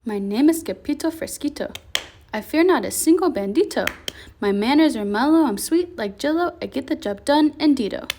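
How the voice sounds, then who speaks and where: Woman as an excitable boy kitten, mock Spanish accent. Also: very high voice, a little slow, few pauses, a little hiss or hum, pitched above the performer's own voice, heavily acted. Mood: boastful singing. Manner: exclamatory, boastful, singsong. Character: boisterous boy kitten pretending to be a Chihuahua hero.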